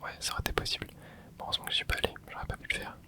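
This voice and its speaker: A young man hosting an ASMR channel, softly whispering a relaxed running commentary as he plays. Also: rapid-fire. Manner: soft close whisper, relaxed running reactions. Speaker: ASMR gaming channel host.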